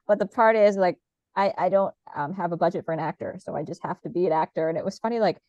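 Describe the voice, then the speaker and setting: A woman talks quickly and casually, a little nasal, piling up fillers and restarts as she chats. Her voice sits a touch low and comes through a slightly dull, dry call microphone. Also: dark tone, no echo. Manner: chatty, many fillers and restarts. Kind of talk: casual conversation.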